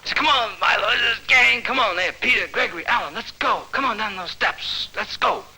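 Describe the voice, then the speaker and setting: A man's voice: high voice, fairly even intonation, fast talker, bright, forceful tone, soft background music, voice pushed up, low-quality recording. A man playing a restless beatnik who rallies and consoles his gang with repeated, urging calls in a told story. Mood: urging, rallying.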